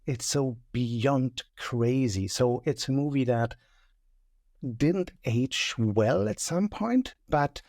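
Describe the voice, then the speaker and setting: A man's voice: medium pitch, expressive, takes it slowly, creaky, a little mellow, no echo, clean studio sound. A man in his forties from Germany with a German accent, chatting casually and joking about himself as a podcast guest. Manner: chatty, self-deprecating, joking. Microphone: studio mic.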